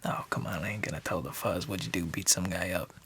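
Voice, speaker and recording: A man's voice: fast, fairly bright tone, a little hiss or hum. A man playing a friendly, nosy bartender in an ASMR noir radio drama, talking in a hesitant, chatty half-whisper full of uhs. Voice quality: gravelly low whisper.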